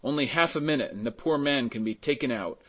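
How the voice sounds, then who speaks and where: A man playing a resolute, compassionate gentleman in a storybook reading, urgent and commanding as he appeals for help. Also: medium pitch, brisk, voice pushed up, natural voice. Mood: urgent, insistent. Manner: insistent, commanding, exclamatory.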